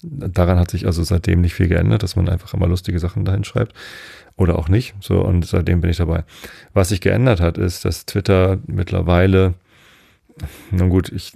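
A man doing A low, gravelly middle-aged man from Northern Germany, hosting a sleep podcast in a dry home studio. His voice is dark and husky, and he drifts hesitantly from thought to thought. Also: no echo. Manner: meandering, hesitant, many fillers and digressions. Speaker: podcast host. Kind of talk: rambling sleep podcast monologue.

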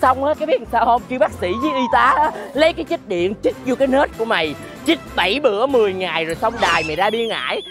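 A woman with a Southern Vietnamese accent playing a sharp-tongued market vendor in a comedy skit, scolding and bickering. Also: fairly high pitch, animated, fast talker, hardly any pauses, over music, close to an everyday voice. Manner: scolding, rhyming tirades. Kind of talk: fast comic skit acting, bickering.

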